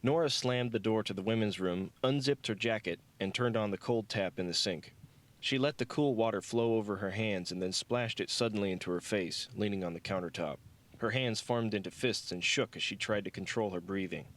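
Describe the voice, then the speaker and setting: A man's voice: lowish voice, brisk. A man reading scripted fiction as an audiobook narrator.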